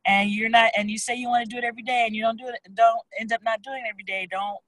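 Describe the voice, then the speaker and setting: Woman, bright tone, dry close sound, co-host, explanatory, encouraging. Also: on the high side, steady tone, no echo. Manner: explanatory, quick bursts, encouraging questions. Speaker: co-host of a discussion salon. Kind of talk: casual group discussion. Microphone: online video call.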